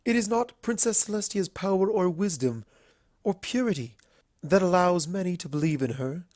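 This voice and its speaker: A narrator voicing a refined, earnest female unicorn pony with formal, measured, deliberate speech in a dramatic story reading. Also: mid-range voice, animated, a little mellow, no room sound, clean studio sound, natural voice. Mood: solemn, earnest. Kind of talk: dramatic fan fiction reading.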